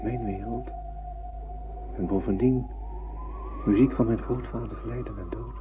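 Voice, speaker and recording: Een acteur die in een hoorspel een zwaarmoedig, sterk buitenaards wezen speelt, kortaf en berustend in de dood. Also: male voice, mid-range voice, fairly even intonation, unhurried, over music, lightly acted. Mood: melancholy. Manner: brooding, terse, weighty. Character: melancholy male alien, tall and strong, resigned to death. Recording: muffled old radio-play recording, faint hiss.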